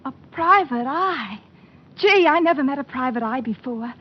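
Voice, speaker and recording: A perky young secretary in an old-time radio crime drama. Her voice sits fairly high and she chatters with guileless, slangy ease. The recording is muffled and dated. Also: lively intonation, moderate pace. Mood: chatty, naive. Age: young adult. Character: chatty naive young female trucking office secretary. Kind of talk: 1950s radio detective drama acting.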